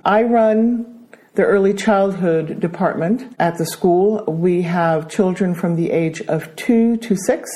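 A middle-aged woman with an American accent, a school principal answering interview questions with many hesitations. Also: fairly low pitch. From Brazil. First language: Danish. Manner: hesitant, many ums, warm about her work.

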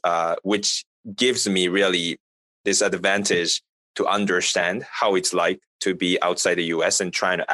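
A man from Japan with a Japanese accent, a native Japanese speaker, answering as an interview guest in a halting, conversational way with repeated filler phrases. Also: deep voice, bright, forceful tone, no room sound. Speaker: interview guest, fintech business representative.

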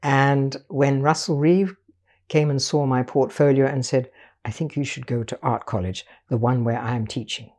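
Older woman telling her life story, mellow and husky. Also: extremely low pitch, fairly even intonation. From Portugal. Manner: measured, storytelling, patient explaining. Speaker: guest artist. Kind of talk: reflective life-story interview.

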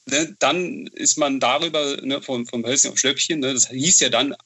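A man's voice: mid-range voice, moderate pace, sharp tone. A man in his thirties chatting casually as an interview guest, often tagging his phrases with a questioning filler. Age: 30s. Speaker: horror novel author, interview guest.

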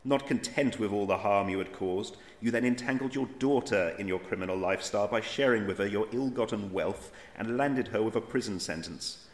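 An actor playing a stern, pompous judge in a futuristic court audio drama, speaking formally and deliberately. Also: male voice, on the low side, natural voice. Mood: stern, accusing. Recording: roomy echoing sound.

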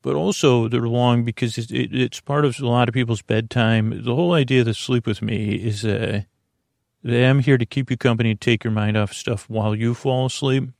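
A man talks in a low-key, meandering ramble, stopping and correcting himself as he drifts from point to point. His voice has a gravelly edge, and it sits close and dry with no room sound. It suits a bedtime podcast host who is in no hurry. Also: fairly even intonation, normal speed, darkish tone, no room sound. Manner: meandering, full of hesitations and self-corrections.

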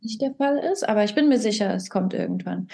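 A woman's voice: medium pitch, lively intonation, a little fast, creaky, mellow. A young woman talking candidly as a podcast guest, rambling and dropping English phrases into her speech. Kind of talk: casual podcast conversation.

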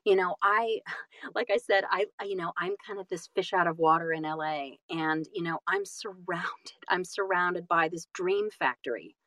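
A woman talking reflectively as an interview guest, animated and self-deprecating, often halting and repeating phrases for emphasis. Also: lowish voice, no room sound. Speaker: guest, audiobook narrator and voice-over artist.

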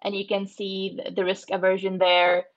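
A woman's voice: mid-range voice, completely flat delivery, moderate pace, dull audio. A woman from the Republic, a startup founder answering interview questions in a thoughtful way with frequent fillers. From Czech Republic.

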